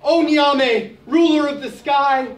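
A woman voicing a timid female giraffe in a storybook tale, in a high, nervous voice. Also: slow pace. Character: timid female giraffe, long-necked, easily scared. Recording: roomy, distant.

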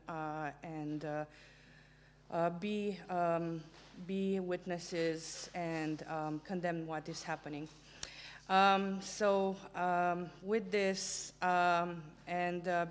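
Halting woman giving a gallery talk. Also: on the low side. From Romania. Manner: halting, many fillers, earnest. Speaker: curator giving a book talk. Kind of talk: lecture presentation. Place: small gallery room, indoors. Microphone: table microphone on stand.

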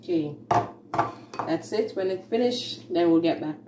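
A woman's voice: fairly low pitch, animated, recorded from a distance, heavy background noise. A woman hosting a home cooking video, talking through the steps plainly with fillers in her kitchen. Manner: unhurried, plain step-by-step talk with fillers. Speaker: home cooking channel host. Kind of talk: casual cooking demonstration. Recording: roomy kitchen, voice off-mic, faint music.

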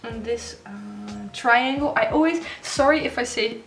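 A woman's voice: fairly high pitch, measured pace, creaky. Gushing young Dutch woman, music behind. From Netherlands. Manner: gushing, excitable, restarts often. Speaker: stationery vlogger. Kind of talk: casual unboxing chat. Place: home desk, indoors.

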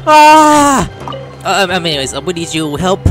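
A man's voice: high-pitched, big pitch swings, normal speed, music in the background. A man giving casual, joking commentary as he streams a game. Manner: joking, reactive.

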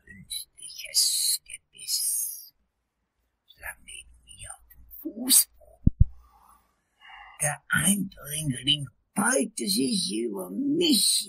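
A man playing an anxious, long-winded lawyer in a crime radio play, telling his story in a halting, melodramatic, husky and creaky voice. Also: high-pitched, sharp tone, full character voice, low-quality recording. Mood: distressed, dramatic. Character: anxious, long-winded male lawyer recounting a night attack.

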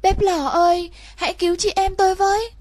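A woman's voice: extremely high pitch, flat delivery, a little fast, a little mellow, no room sound, voice pushed up. A woman voicing an anxious, pleading little girl in a storybook fairy tale. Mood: urgent pleading. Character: anxious little girl searching for her brother. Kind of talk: storybook reading.

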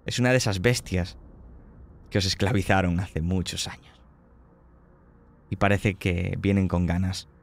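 A man's voice: low-pitched, fairly even intonation, measured pace, creaky, pauses often, soft background music. A man narrating a tabletop horror game as game master, describing scenes vividly and dramatically.

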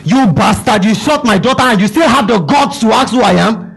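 A Nigerian man with a high voice pleads and stammers in a stage comedy. His delivery is frantic and breathless with panic, words tumbling and repeating. He is recorded by a far camera on a small curtained stage. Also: expressive, fairly quick, gravelly, a bit bright, slightly rough. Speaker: stage actor playing a corrupt father.

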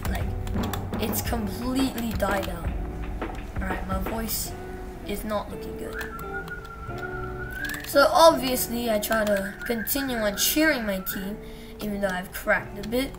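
A young woman with a slightly husky voice tells a casual story while playing a game, breaking off to react to it. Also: mid-range voice, measured pace, long pauses. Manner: chatty, reacts aloud to the game mid-story. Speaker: gamer telling a story. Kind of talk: casual storytime over gameplay. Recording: distant mic under loud music. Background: game music and keyboard typing.

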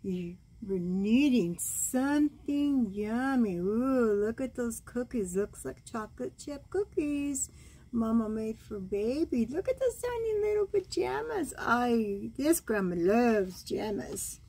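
A woman in her sixties reading a bedtime storybook aloud as a grandmother, gentle and expressive, cooing over the pictures. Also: a little high, takes it slowly, husky. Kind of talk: read-aloud bedtime story with side comments. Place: small room at home, indoors.

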